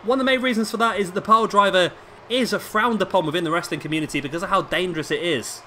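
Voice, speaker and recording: Male gaming commentator, slightly high-pitched but hoarse, casual play-by-play, over clear background noise. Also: fast, sharp tone. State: hoarse with a sore throat. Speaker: gaming video host and commentator. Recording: clearly noisy home recording.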